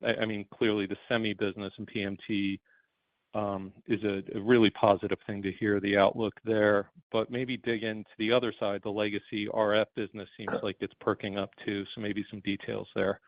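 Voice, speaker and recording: A man's voice: on the low side, little pitch movement, a little fast, dry recording. A man asking an analyst's questions on an earnings call, with frequent hesitant fillers. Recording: dull phone line.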